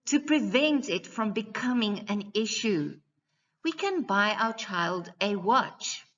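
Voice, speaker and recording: A woman's voice: mid-range voice, fairly bright tone, muffled recording. A woman teacher giving a scripted presentation to parents in a didactic, carefully enunciated way.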